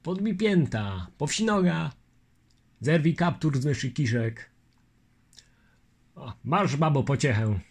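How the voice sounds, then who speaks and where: A man's voice: fairly high pitch, expressive, slow, pauses often, darkish tone, slightly rough, voice pushed up, exaggerated voice. A man doing an earnest Lithuanian nobleman. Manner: patient, earnest, old-fashioned courtesy. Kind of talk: audiobook character voice.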